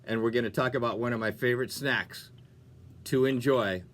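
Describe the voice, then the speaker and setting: A man presenting on music radio as a DJ, with an animated, folksy, playful delivery and chatty talk breaks. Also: mid-range voice, normal speed. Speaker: radio DJ and show host.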